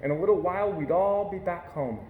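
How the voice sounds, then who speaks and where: A man reading a children's picture book aloud with gentle, measured storytelling. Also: high-pitched, narrow, tinny audio. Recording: echoey room with faint hiss.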